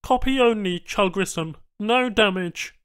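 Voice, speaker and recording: A man's voice: high voice, a little slow, a bit bright, smooth, no echo, clean studio sound, voice pushed up. A man voicing a matter-of-fact alien technician in a science fiction audiobook, speaking emphatically in clipped, stilted broken phrases.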